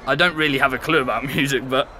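An actor playing a chatty, laughing young ex-flatmate. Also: male voice, mid-range voice, quick pace, slight background noise, lightly acted. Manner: chatty, laughs while telling. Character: chatty young man, ex-flatmate of a missing student. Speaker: former flatmate. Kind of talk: conversational audio drama acting.

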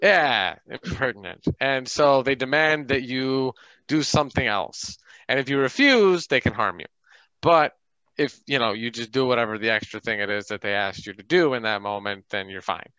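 A man with a bright, smooth voice casually walks other players through a tabletop game. He sounds close and dry, on a slightly dull video-call line. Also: mid-range voice, moderate pace, no room sound. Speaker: game facilitator explaining rules. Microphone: group video call. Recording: dull band-limited online call.

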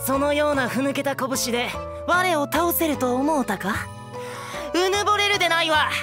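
A woman's voice: high voice, expressive, moderate pace. Boyish, grandiose demon king over music. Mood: menacing, haughty. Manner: grandiose, archaic, menacing villain speech. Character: imperious demon king, as played by little boy. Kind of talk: Japanese voice-anime comedy acting. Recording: music bed behind the voice.

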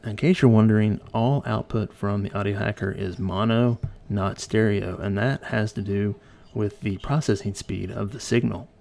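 Low, creaky-voiced man narrating a tutorial. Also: fairly even intonation, moderate pace, a little mellow. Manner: informal, explanatory. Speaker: host of an electronics tutorial channel.

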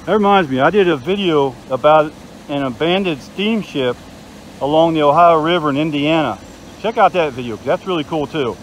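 A man's voice: on the high side, lively intonation, moderate pace, a little hiss or hum, poor audio. Chatty man filming by a river. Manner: chatty, enthusiastic, points things out. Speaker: vlogger. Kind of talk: casual outdoor video tour. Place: outdoors by a river.

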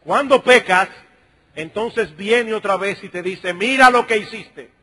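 High-pitched, husky preaching man. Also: a little slow, sharp tone. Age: middle-aged. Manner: emphatic, rhetorical questions, direct address to listeners. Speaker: pastor. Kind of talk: sermon, expository preaching to a congregation.

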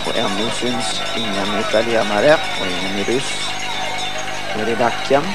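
A man with a Swedish accent giving live commentary on a ski relay in a measured way that builds to excited calls. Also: fairly low pitch, loud music behind the voice, low-quality recording. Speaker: sports commentator.

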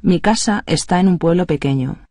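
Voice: medium pitch, moderate pace, no echo, studio quality. Neutral reader giving drill prompts. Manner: even, neutral prompt reading. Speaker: Spanish phrase reader. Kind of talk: read-aloud language drill.

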